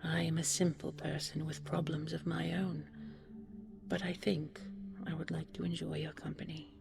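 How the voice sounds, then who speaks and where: A woman plays a humble young wanderer from a fairy tale. The voice is soft and creaky, courteous and hesitant, with a weary gentleness. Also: low voice, flat delivery, moderate pace, music in the background.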